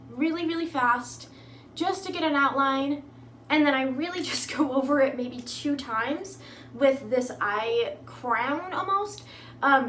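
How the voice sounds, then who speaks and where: Female beauty vlogger, upbeat and chatty, high-pitched, recorded in a roomy space. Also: fairly bright tone, slight background noise. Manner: chatty, upbeat, lots of "like" fillers. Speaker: makeup vlogger. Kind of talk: casual makeup tutorial. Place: at home. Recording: echoey room.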